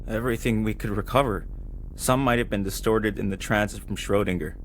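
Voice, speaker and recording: Actor as a low-voiced deferential male official. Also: a little fast, a little hiss or hum, natural voice. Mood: hesitant, formal. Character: deferential male official reporting to his superior. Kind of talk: audio drama acting.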